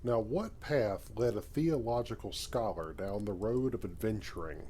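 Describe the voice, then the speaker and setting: Casting: man playing laconic new recruit in a space game, low, mellow dark tone, measured and dry. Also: a little hiss or hum, close to an everyday voice. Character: laconic, modest male miner turned space explorer. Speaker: player character, new recruit. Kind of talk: video game character dialogue.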